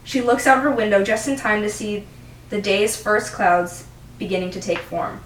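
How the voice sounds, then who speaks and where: A woman's voice: medium pitch, normal speed. A young adult woman reading stage directions as the narrator of an amateur script read. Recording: roomy, distant mic, faint noise.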